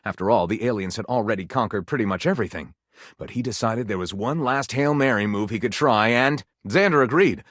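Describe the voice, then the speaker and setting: A man's voice: on the low side, brisk, a bit bright, no room sound, clean studio sound. A man playing a theatrical, tongue-in-cheek narrator of pulpy detective tales in a video game, arch and savoring every dramatic turn.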